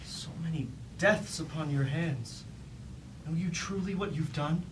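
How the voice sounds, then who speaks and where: A man's voice: a little high, measured pace, natural voice. An actor playing a suspicious, anguished robot lieutenant on stage, in theatrical, archaic tragic speech full of resentment for his master. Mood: hushed, shaken. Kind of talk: staged reading of mock Greek tragedy. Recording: roomy, distant mic, faint background noise.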